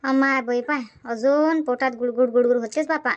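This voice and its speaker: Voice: sits high, fairly even intonation, normal speed, lo-fi sound, close to an everyday voice. A voice actor voicing a fussy older village woman with a stomach ache, speaking in a dubbed cartoon comedy, grumbling and self-pitying.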